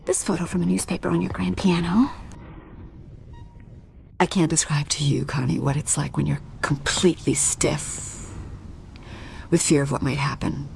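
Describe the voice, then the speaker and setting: An actress playing a tense, anxious wife in a radio play, with a hushed, hesitant, breathy delivery. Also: female voice, sits low, steady tone, moderate pace, long pauses, a little hiss or hum. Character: tense, anxious married woman, probing a secret.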